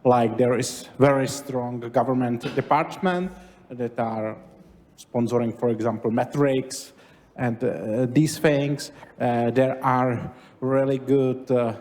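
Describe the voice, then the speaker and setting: A man speaking at a conference talk, halting and searching for his words. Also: medium pitch, steady tone, darkish tone. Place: lecture hall. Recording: long reverb of a hall.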